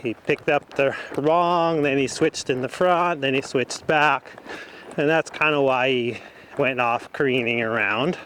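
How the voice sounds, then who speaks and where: Slow-paced man coaching from horseback. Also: medium pitch, a bit bright. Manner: talking in short, broken phrases while riding. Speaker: horse trainer. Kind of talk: instructional commentary while riding. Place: outdoors in an open riding arena. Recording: faint outdoor noise.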